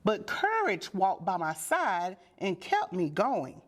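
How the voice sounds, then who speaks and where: A woman reading a children's storybook aloud with deliberate, dramatic and expressive phrasing. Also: medium pitch. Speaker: storybook reader.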